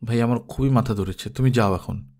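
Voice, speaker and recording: A man's voice: mid-range voice, moderate pace, darkish tone, lightly acted. A man voicing an irritated, embarrassed younger brother in an audio story, curt and exasperated. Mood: irritated, weary.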